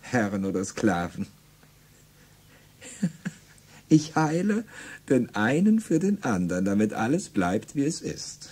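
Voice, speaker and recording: An actor playing a cynical aristocratic slaveholder in a German radio drama, laughing and commanding. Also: male voice, fairly low pitch, very animated, moderate pace, a little mellow, slightly rough. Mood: mocking. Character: cynical aristocratic slaveholder, middle-aged man.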